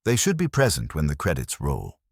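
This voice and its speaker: A man's voice: rumbling low, huge pitch swings, fairly quick, vocal fry, slightly rough, dry recording, exaggerated voice. A man voicing a wry, unflappable detective's assistant in an audiobook, with dry, witty, firm delivery.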